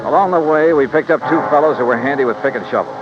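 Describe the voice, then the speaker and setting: A man's voice: on the high side, quick pace, noisy background, natural voice. An American man playing a tough, matter-of-fact insurance investigator who narrates his case in old-time radio drama style. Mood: wry narration. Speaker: radio detective lead and narrator. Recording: dull vintage radio recording with music.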